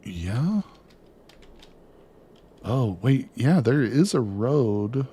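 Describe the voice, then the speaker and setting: A man streaming a video game, chatting excitedly to his viewers as he plays, losing his voice near the end of a long session. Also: medium pitch, drawn-out words, breathy edge. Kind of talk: casual gameplay commentary.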